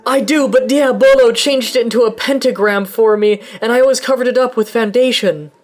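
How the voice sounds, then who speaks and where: A woman voicing a panicky, whiny teenage boy wizard in a comedic fanfic reading, with shrill, frantic squeals. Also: fairly quick, faint music behind, lightly acted. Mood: panicked.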